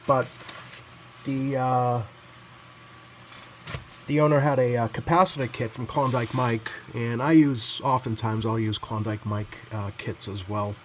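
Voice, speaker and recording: Halting man talking over background noise. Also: medium pitch, steady tone. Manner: halting, hesitant delivery heavy with ums and pauses. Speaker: repair technician presenting his bench work. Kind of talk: casual unscripted bench commentary.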